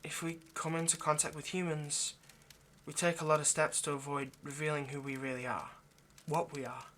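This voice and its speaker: Low-key pleading male vampire, acted by a man, noisy recording. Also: little pitch movement, a bit bright, natural voice. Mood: confiding. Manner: halting, earnest, pleading, dropping to near-whisper. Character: male vampire, ageless, possessive yet remorseful, pleading lover. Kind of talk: intimate ASMR audio roleplay monologue.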